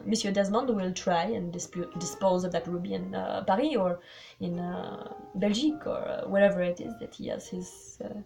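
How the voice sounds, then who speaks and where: A woman's voice: medium pitch, creaky, a little mellow, lightly acted. A woman voicing a fussy, smug older male Belgian detective, polite and dropping French words with some fillers.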